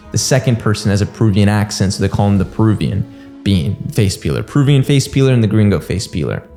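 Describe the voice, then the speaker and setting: A man in his twenties recounting a story informally to camera in an earnest, deliberate way, recorded indoors. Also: on the low side, dark tone. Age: 20s. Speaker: host of a documentary channel. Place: small indoor room at home. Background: background music.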